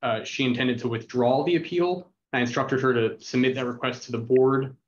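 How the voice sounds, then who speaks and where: A man's voice: mid-range voice, fairly even intonation, moderate pace, a little hiss or hum. A man representing the city addresses an appeals board over a video call. He talks in a businesslike way and reads out case numbers and addresses. His call audio is dull and lo-fi. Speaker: city attorney. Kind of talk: formal hearing remarks.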